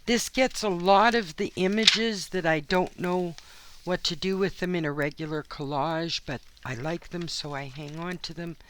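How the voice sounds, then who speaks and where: A hoarse woman hosting a craft channel, chatting in a rambling way with plenty of asides and fillers from her home craft studio. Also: fairly low pitch, normal speed, heavy background noise. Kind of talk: casual chat while crafting.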